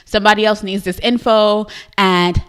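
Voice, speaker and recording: A woman's voice: mid-range voice, fairly even intonation, normal speed, fairly bright tone, clear, resonant tone. A young woman with a Trinidadian accent hosting a live stream show, talking to her viewers in an upbeat, chatty way and often tagging "right?" onto her points. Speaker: host of a live business show.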